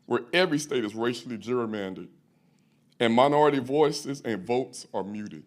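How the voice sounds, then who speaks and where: A man's voice: medium pitch, animated, normal speed. A man from Louisiana delivering an emphatic, rhetorical prepared speech as a commenter at a public hearing. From Louisiana, US South. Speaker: public commenter, chapter president of a fraternity. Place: public hearing room in a courthouse.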